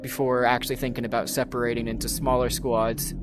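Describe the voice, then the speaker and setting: A man's voice: mid-range voice, little pitch movement, fairly quick, music in the background. A man giving a casual, unscripted game review in a hesitant, rambling way. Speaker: gaming video host.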